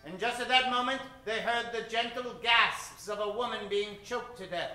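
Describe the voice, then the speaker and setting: A man's voice: extremely high pitch, moderate pace, bright, forceful tone, exaggerated voice. A man playing a theatrical, melodramatic announcer and narrator in a 1940s-style radio serial. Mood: suspenseful. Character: excitable old-time radio announcer narrator. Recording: very echoey stage, distant mic, music behind.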